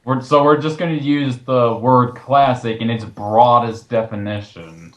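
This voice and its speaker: A man's voice: medium pitch, unhurried. A man hosting casual comedic film commentary, speaking sarcastically in a roomy recording. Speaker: host, film reviewer. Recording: roomy, echoing home recording.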